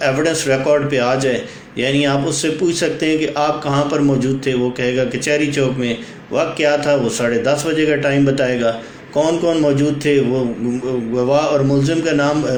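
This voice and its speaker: A man with a Pakistani accent giving a formal instructional legal explainer, mixing English legal terms into his speech. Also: on the high side. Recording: echoey room with faint background noise.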